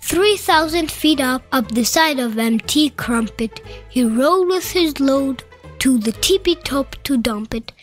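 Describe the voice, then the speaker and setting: A woman narrating a children's storybook in an expressive, theatrical read-aloud style. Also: sits high, unhurried. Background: background music.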